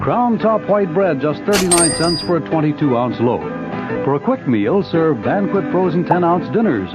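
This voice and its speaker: A man reading a punchy, list-like 1970s radio advertisement as a commercial announcer. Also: a little high. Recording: muffled old radio broadcast, loud music.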